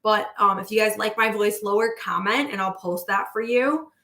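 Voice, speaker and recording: A woman hosting a casual livestream as a manifestation coach, talking warmly to her viewers and checking in with "okay?" as she goes. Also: mid-range voice, normal speed. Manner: effusive, repeating "okay?" and reading out viewer comments.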